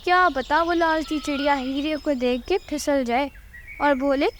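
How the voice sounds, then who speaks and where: A woman voices a haughty, greedy crow wife in a cartoon fable. The voice is high and boastful, with a demanding manner, over faint nature noise. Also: fairly even intonation, unhurried. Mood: greedy, impatient. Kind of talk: Hindi cartoon dubbing.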